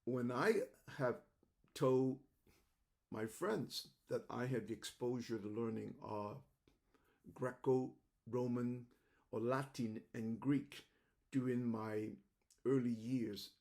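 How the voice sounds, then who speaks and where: An older man from Macau, speaking English as an in a halting, reflective monologue, often repeating words, recorded on a webcam at home. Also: medium pitch, creaky, a little mellow. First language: Cantonese. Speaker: host. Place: home room indoors.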